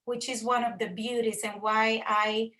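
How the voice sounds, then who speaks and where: A woman's voice: fairly high pitch, little pitch movement, measured pace, fairly bright tone. A woman from Costa Rica with a Costa Rican Spanish accent, a professor giving an earnest presentation to faculty, often repeating words for emphasis.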